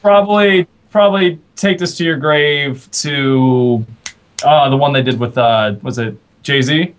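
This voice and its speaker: An American man with a fairly high voice. He answers interview questions casually, as a member of a rock band. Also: measured pace. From United States, Florida.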